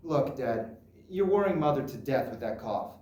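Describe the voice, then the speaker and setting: A man acting as a patient, dutiful grown son in a stage drama, placating and gently insistent with an ageing father. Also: mid-range voice, lively intonation, normal speed, natural voice. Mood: concerned, insistent. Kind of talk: solo dramatic interpretation on stage. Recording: echoey room, distant microphone.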